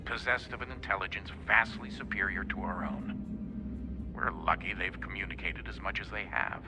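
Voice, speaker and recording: A man's voice: low-pitched, fairly even intonation, normal speed, over music. An actor playing a stern, cold middle-aged father and hardened mentor in a video game, with a serious, curt delivery. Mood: thoughtful, grave. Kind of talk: naturalistic video game acting.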